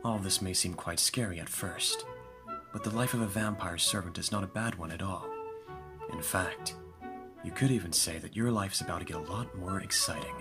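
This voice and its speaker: A man playing a composed vampire lord in an audio roleplay, speaking formally and with calm authority to a servant. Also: deep voice, normal speed, natural voice. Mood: calm, teasing. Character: lordly young-sounding male vampire master, calm and commanding. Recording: music behind the voice. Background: music.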